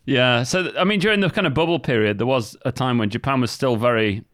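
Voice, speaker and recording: A man in casual conversation, explaining his points in a measured way. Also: mid-range voice, a bit bright, a little hiss or hum. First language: English.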